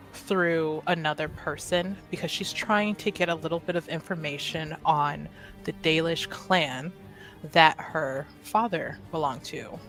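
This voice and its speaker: A woman playing a tabletop roleplaying game, thinking aloud in a halting, apologetic way as she narrates. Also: lowish voice, a bit bright. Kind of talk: improvised actual-play roleplay, casual narration.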